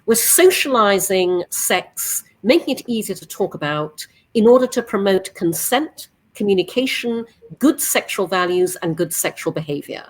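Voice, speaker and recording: A woman in her sixties, originally from England, introducing her company on a video-call panel in a measured, emphatic way. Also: medium pitch, animated, low-quality recording. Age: 60s. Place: apartment living area.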